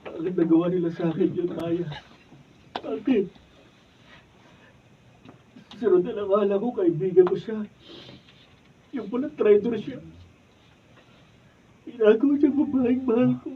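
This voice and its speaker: Man acting an earnest, higher-voiced young Filipino student. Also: moderate pace, pauses often, close to an everyday voice. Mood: anguished. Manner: pleading, sentimental. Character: earnest, lovesick young male scholarship student. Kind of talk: Filipino radio drama acting. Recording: muffled, distant mic, noisy room.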